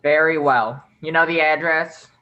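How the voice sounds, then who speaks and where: A low, dry voice with a dull, band-limited sound, playing a criminal boss in a radio thriller acted by a woman. The delivery is curt and commanding. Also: fairly even intonation, a little slow, dry recording. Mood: curt, controlled. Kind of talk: suspense radio play acting.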